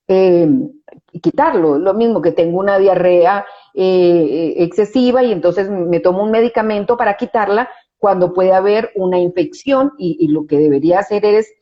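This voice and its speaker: A woman's voice: mid-range voice, mellow, highs cut off. A woman psychologist, explaining her view in an interview, often restarting phrases and adding tag questions for agreement. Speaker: psychologist guest.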